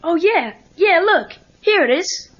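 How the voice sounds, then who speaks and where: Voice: very high voice, lively intonation, unhurried, a bit bright, exaggerated voice. An actor playing an earnest, self-doubting teenage boy karate student in a read-along audio drama. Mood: delighted. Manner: eager, hesitant, self-doubting. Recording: muffled cassette tape with music.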